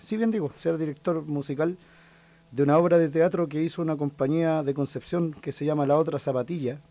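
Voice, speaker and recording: A man's voice: fairly high pitch, a little fast, dark tone. A Chilean man in his thirties, a folk singer talking in a casual interview, hesitant and full of restarts. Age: 30s. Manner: hesitant, many restarts and fillers.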